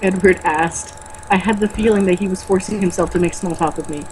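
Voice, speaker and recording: A woman's voice: fairly low pitch, fairly even intonation, fairly quick, a little mellow, slight background noise, rough recording. A woman reading a first-person novel aloud informally, occasionally stumbling over words and rereading them. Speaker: amateur reader in a comedic read-aloud video.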